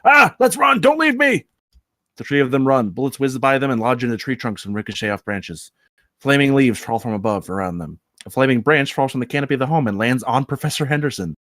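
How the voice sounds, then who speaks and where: Male narrator for a script table read, mid-pitched and quick, reading stage action, dry recording. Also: dry recording. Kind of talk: reading screenplay action aloud.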